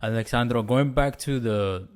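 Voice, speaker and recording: A man hosting a podcast, who welcomes listeners politely and asks his guest measured, structured questions. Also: mid-range voice. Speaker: podcast host.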